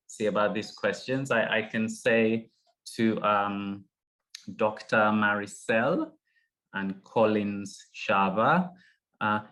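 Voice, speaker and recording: Middle-aged guy running an online panel, slow and kinda low, lots of uhs and restarts, video call sound. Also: fairly bright tone, low-quality recording. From Uganda. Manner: hesitant, many fillers and restarts. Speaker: session moderator.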